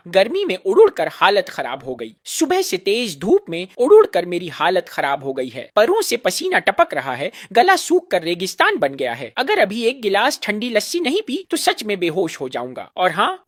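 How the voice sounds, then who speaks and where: Woman acting cartoon bird customer, very animated pitch, dramatic, grumbling, dry close sound. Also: normal speed, hardly any pauses, no room sound. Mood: parched, weary. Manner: dramatic, complaining. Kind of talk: Hindi moral-story cartoon dialogue.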